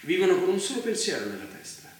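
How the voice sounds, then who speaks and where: An actor playing an indignant, morally defiant rebel leader in a confrontational dystopian stage drama. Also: male voice, sits high, sing-song, fast. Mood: grimly quiet. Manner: challenging, confrontational. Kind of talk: intense theatrical stage acting. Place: theatre stage, recorded from afar. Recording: echoey theatre, distant mic, loud noise.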